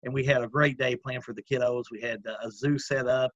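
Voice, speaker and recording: A man with a loud voice, an executive director talking earnestly in a casual interview, with many fillers and restarts. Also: medium pitch, steady tone, fairly quick, no room sound, muffled recording. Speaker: community action executive director. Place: at home, remote call.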